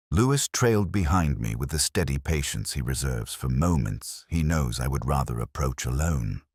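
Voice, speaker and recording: A man playing a brooding, introspective older police detective, narrating a mystery in a measured, solemn, literary way. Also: very deep voice, darkish tone, slightly rough, no echo, clean studio sound, exaggerated voice. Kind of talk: scripted first-person mystery narration.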